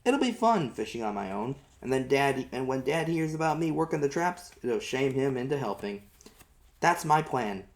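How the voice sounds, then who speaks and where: A man's voice: medium pitch, sing-song, moderate pace. A man reading a first-person novel aloud as an amateur audiobook narrator, in folksy storytelling style.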